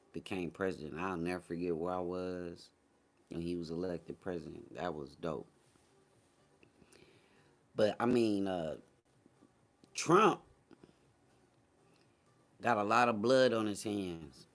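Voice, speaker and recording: An American man in casual, unscripted talk, opinionated and blunt, with slangy phrasing and plenty of swearing. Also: on the low side. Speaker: morning show host. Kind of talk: casual chat.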